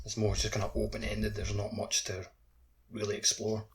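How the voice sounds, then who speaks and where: Gaming podcast co-host, man, somewhat low-pitched, informal and conversational. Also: monotone, normal speed. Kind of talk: casual podcast chat about a video game.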